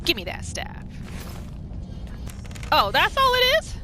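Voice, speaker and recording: A woman hosting a gaming video with casual, excitable commentary, exclaiming and narrating what her character thinks. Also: extremely high pitch, takes it slowly, background noise.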